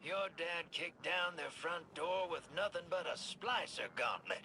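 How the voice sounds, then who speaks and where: A man's voice: on the high side, expressive, measured pace, slight background noise, full character voice. A man playing a brash, irreverent alien pirate in a video game, with a blunt, cheeky, casual delivery. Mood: scornful. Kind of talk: video game character acting. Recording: loud game music behind.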